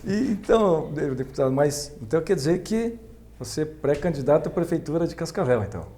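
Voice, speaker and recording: A man's voice: fairly high pitch, big pitch swings, brisk, dark tone. A man hosting a casual, chatty conversational interview. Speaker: interviewer, political pre-candidate.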